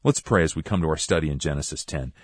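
Deep-voiced man hosting a radio Bible show. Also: fast talker, no room sound, clean studio sound. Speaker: radio ministry host. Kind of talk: scripted radio introduction and letter reading.

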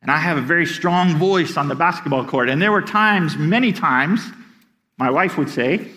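A man's voice: on the high side, moderate pace, sharp tone. A middle-aged man preaching a sermon as pastor, earnest, often repeating words as he starts a sentence.

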